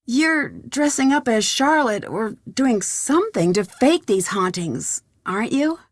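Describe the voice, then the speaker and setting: Young american girl detective in a video game, kinda high voice, nosy and determined, super dry recording. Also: expressive, a little slow, dry recording. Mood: pressing, confrontational. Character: inquisitive, determined young female amateur detective. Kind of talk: scripted video game dialogue.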